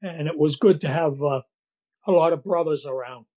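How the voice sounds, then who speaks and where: An older man with a Boston accent and a scratchy voice, telling his recovery story at a meeting in a halting way, with many fillers and restarts. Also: a little high, warm tone. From Massachusetts, USA.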